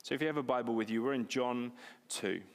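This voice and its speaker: A man's voice: medium pitch, fast talker. A man, preaching a sermon in a conversational, self-deprecating way and repeating key phrases for emphasis. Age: 40s. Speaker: pastor. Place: church hall. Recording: long reverb of a church hall.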